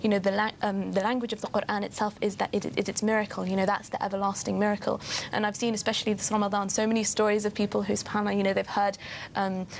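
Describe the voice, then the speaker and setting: A woman's voice: mid-range voice, creaky, slight background noise. A woman on a discussion panel, speaking with enthusiasm and lots of filler phrases. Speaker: panel guest. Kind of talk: casual discussion.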